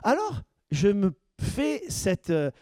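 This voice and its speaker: A man's voice: high-pitched, wildly swooping pitch, slow, a little mellow, dry recording, clean studio sound. A man preaching as pastor, questioning his congregation and building to emphatic points. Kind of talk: sermon teaching.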